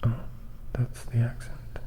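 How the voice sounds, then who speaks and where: A man voicing a timid, nervous boy interviewer in a tentative, hushed storybook whisper. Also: takes it slowly, natural voice. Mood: timid, curious. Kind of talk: whispered ASMR storybook reading.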